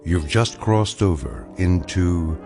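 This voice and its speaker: A solemn, eerie narrator of a radio anthology. His very low voice moves slowly and portentously, with music clearly behind it. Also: expressive. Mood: ominous, solemn. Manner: portentous, measured delivery. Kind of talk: dramatic scripted radio narration.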